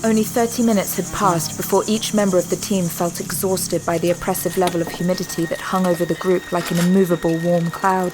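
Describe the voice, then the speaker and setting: A woman's voice: lowish voice, low-quality recording. A woman narrating an audio drama from a script, as a storyteller between scenes. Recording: clear ambient sound behind.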